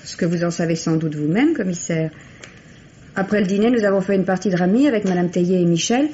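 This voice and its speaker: An actress playing a composed, reserved young secretary who answers with cool candour in a French radio mystery play. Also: female voice, fairly low pitch, quick pace, dark tone, lightly acted. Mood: composed, guarded. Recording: muffled, noisy old radio recording.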